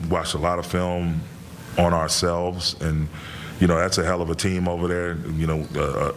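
A middle-aged man, a head coach, answering reporters' questions after a game in a measured way, with many fillers and repeated words. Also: deep voice, a little hiss or hum. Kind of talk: postgame press conference answers. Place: press room in front of a sponsor backdrop.

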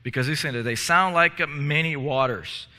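A man in his thirties preaches in a church sanctuary, his voice strikingly bright and a little high. He talks earnestly, stumbles into restarts and repeated words, and a long hall reverb trails behind him on a headset mic. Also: normal speed. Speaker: pastor. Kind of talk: sermon. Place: church sanctuary in front of stage steps.

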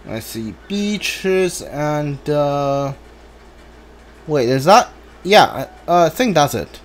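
A man's voice: a little high, lively intonation, slow pace. A man giving casual, off-the-cuff commentary as he plays a video game, muttering his thoughts aloud to himself. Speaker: video game streamer playing a blind playthrough. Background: video game music.

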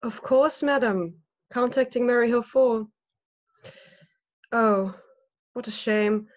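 A woman's voice: a little high, slow, highs cut off. A young woman playing a formal, falsely polite telephone operator in a drama. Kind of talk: radio-play acting over video call.

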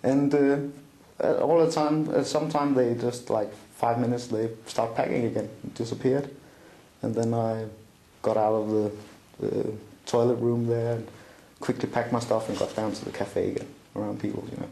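A man's voice: medium pitch, steady tone, moderate pace, creaky, warm tone, roomy sound, rough recording. A middle-aged man with a Danish accent recounting a personal story in an interview, with many fillers and restarts. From Denmark. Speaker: interview guest. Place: small room indoors, seated at a table.

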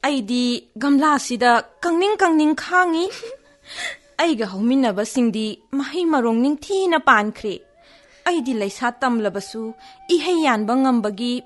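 A woman's voice: high voice, animated, very fast. A woman playing a wistful, self-pitying talking fruit tree in a radio play, with a dramatic, complaining manner. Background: faint music.

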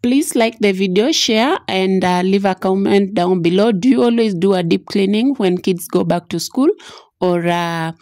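A woman's voice: medium pitch, moderate pace, no room sound, studio quality. A woman in her thirties narrating her home cleaning in a casual, chatty way, with lots of "uh" fillers. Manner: relaxed, many "uh" fillers, chatty.

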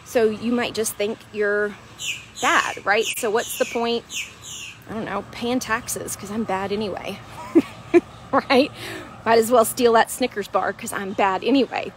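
A woman's voice: fairly high pitch, fairly even intonation, a little slow, background noise. A woman, a weight loss coach chatting casually in a walk-and-talk vlog, explaining things with lots of "right?" and "um". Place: outdoors at a beach park. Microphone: wired earphone mic, phone at arm's length.

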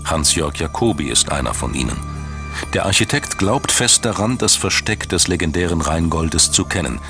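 Deep-voiced man narrating a documentary over music. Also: expressive, moderate pace. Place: studio voice-over.

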